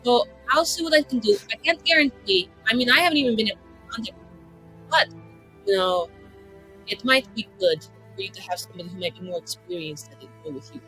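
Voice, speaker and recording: A woman's voice: on the high side, animated, fast talker, sharp tone, faint music behind, poor audio. A woman improvising casually in a tabletop roleplaying game, conversational and playful.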